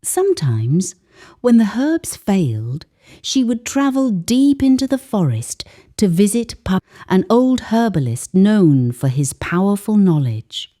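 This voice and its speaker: A woman's voice: medium pitch, very animated, measured pace, warm tone. A woman narrating a folktale as a dramatic, scripted storyteller.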